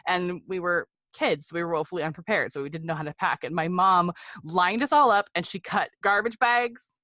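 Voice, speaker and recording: A woman's voice: on the low side, normal speed, sharp tone, dry recording. An American woman in her thirties telling personal stories as a podcast guest, in a candid, chatty conversational way. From Seattle area, United States. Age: 30s. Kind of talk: personal storytelling in a conversational interview.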